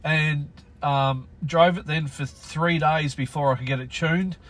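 A husky-voiced Australian man chats as a guest, blunt and deadpan. He tells old stories with a sardonic edge and little fuss. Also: on the high side, measured pace. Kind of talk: casual conversation.

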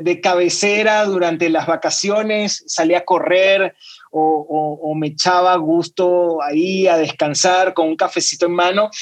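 A man from Venezuela with a Venezuelan Spanish accent, chatting as a guest on a podcast and often repeating words as he searches for the next one. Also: high-pitched. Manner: talkative, repeats words while searching for them. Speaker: podcast guest, music executive. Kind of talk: casual interview chat.

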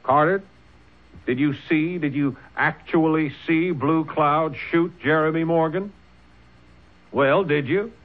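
A man's voice: on the high side, slow, phone-quality sound. An actor playing a principled, indignant frontier statesman in a radio drama, earnest and forthright. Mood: indignant, pressing. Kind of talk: old-time radio drama acting. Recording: band-limited old radio drama recording.

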